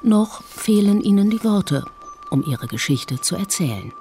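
A woman narrating a radio documentary in a calm, measured first-person voice. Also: medium pitch, soft background music. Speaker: feature author and narrator.

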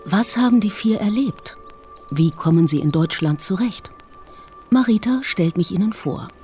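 A woman narrating a radio documentary in a calm, measured first-person voice. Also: mid-range voice, soft background music. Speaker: feature author and narrator.

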